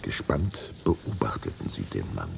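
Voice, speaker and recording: A man narrating a children's audio drama in a gravelly, husky voice, with a deliberate, suspenseful delivery. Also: very deep voice, wildly swooping pitch, heavy background noise, full character voice.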